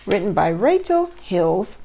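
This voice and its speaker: Female preschool storyteller: unhurried, lively pitch swings, encouraging questions for little listeners. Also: creaky. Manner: simple encouraging questions to young children. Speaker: preschool teacher and host. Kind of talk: animated children's read-aloud storytelling.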